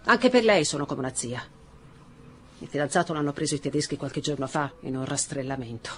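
A woman's voice: low voice, fairly quick, slight background noise, lightly acted. An actress playing a protective, emotional woman in an Italian radio drama, with a dramatic, desperate manner. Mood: explaining.

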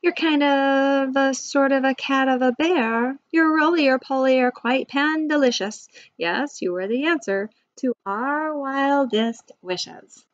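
A woman acts out a storybook panda longing for a little one, plaintive and expressive. The voice sits fairly high and sounds close and dry, a little dull. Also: slow pace, few pauses, no echo. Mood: delighted. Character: gloomy pandas longing sweetly for a baby.

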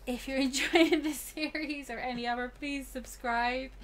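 A woman's voice: sits high, steady tone, moderate pace, slight background noise. A woman hosting a game playthrough, switching between reading aloud and casual chat. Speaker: gaming video host. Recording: game music behind the voice.

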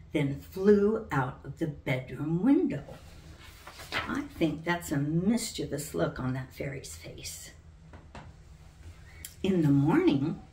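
An older woman, around her sixties, reading a children's book aloud as its author with deliberate, dramatic storytelling. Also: low voice, a little mellow. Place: living room at home, indoors. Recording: roomy, distant from the mic.

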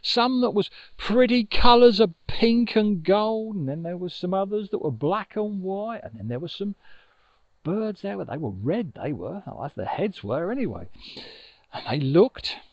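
An older man telling a children's story in an expressive, conversational way, recorded outdoors on a lapel mic. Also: sits high, a little slow, no room sound, muffled recording. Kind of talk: informal oral storytelling for children. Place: outdoors on a grassy riverbank. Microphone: lapel mic with furry windshield.

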